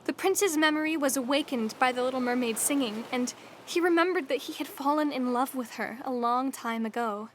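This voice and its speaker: A woman's voice: high voice, a little fast, hardly any pauses, a little hiss or hum. A woman narrator reading a scripted fairy tale for an audio drama. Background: music.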